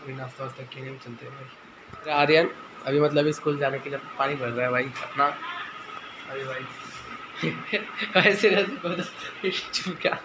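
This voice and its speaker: A hoarse teenage boy with a sore throat, chatting casually to his viewers in a home vlog filmed on a phone held at arm's length. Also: mid-range voice, moderate pace, noisy background. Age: teens. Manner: chatty, filler-heavy, addresses viewers as "bhai" and "guys". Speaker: vlogger. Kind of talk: casual day-in-the-life vlog. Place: small home rooms indoors. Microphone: phone camera held at arm's length.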